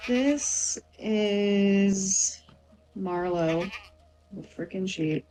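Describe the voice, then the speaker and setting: A woman's voice: mid-range voice, very slow, dark tone, slight background noise, low-quality recording. A woman playing a tabletop game, casually narrating and speaking for her character. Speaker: player. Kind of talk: improvised roleplay narration, casual.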